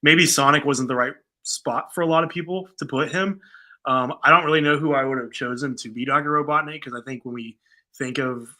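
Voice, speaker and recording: A man with a very bright, punchy voice at an average male pitch. He talks like a co-host on a casual fan show, informal and conversational, weighing opinions loosely as he goes. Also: fairly even intonation, a little fast. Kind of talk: casual conversational film discussion.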